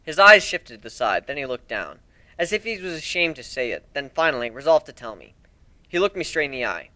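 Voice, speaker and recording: A man giving an expressive, theatrical first-person narration as an amateur dramatic story reader. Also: mid-range voice, a little fast, no echo.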